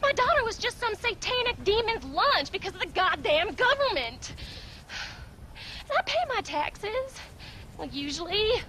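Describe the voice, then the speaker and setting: A bitter sarcastic wife. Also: female voice, very high-pitched, big pitch swings, measured pace, pushed and bright, slight background noise, exaggerated voice. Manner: sarcastic, scolding, rural drawl. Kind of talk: video game cutscene acting.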